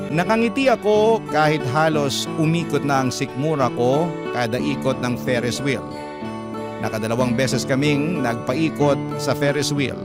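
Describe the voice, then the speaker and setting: A man narrating a listener's letter in classic radio storytelling style. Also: mid-range voice. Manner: steady, storytelling. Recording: loud background music.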